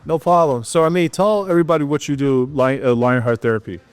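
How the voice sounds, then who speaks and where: A man's voice: a little high, fairly even intonation, fast talker, smooth, no echo. A man hosting a podcast who asks questions loosely and casually. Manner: loose, casual phrasing with laughs and fillers. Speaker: podcast host.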